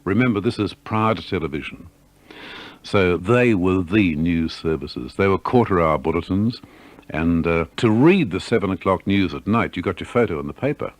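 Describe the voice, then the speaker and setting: A man's voice: deep voice, expressive, moderate pace, dry recording. An older man, a veteran radio newsreader, reminiscing in an interview.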